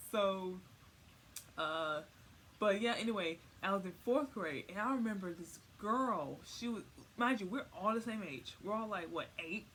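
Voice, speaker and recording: Young adult female vlogger, mid-pitched, slangy and rambling comic explainer, slightly noisy home recording. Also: expressive, a little slow, fairly bright tone. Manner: rambling, informal slang, repeats words for emphasis. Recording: faint noise, home recording.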